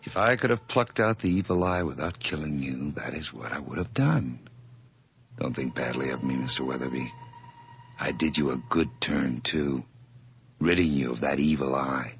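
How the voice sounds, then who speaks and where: A gravelly, feverish killer. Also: male voice, extremely low pitch, normal speed, a little hiss or hum. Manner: intense, feverish, swinging from whispers to outbursts. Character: nervous, obsessive man confessing a murder. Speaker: narrator. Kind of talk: radio drama narration.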